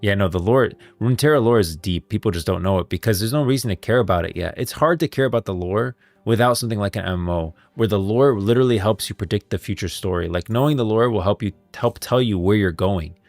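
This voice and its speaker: A man hosting a casual gaming livestream, chatting informally, thinking aloud in a halting way and repeating phrases. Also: fairly low pitch, darkish tone, no room sound. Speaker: streamer, host of a gaming lore stream. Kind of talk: casual livestream chat, reading posts aloud.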